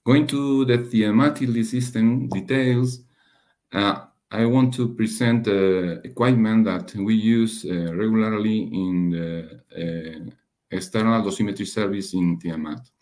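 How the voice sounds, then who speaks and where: A man giving a technical slide presentation as a webinar speaker, halting and hesitant, often pausing and rephrasing. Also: lowish voice, fairly even intonation, a little mellow. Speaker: webinar presenter, radiation dosimetry researcher.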